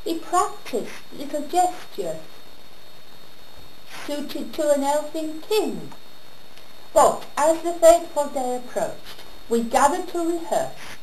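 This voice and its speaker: High, animated woman reciting comic verse. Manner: theatrical storytelling with deliberate pauses. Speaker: monologue performer. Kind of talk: comic rhyming monologue recitation. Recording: roomy echo with background noise.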